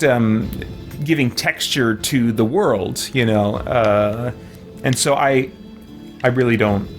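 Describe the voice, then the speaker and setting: Halting man chatting over game music. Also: mid-range voice. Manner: halting, thinking aloud. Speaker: livestream game player and host. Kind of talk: casual livestream chat while playing.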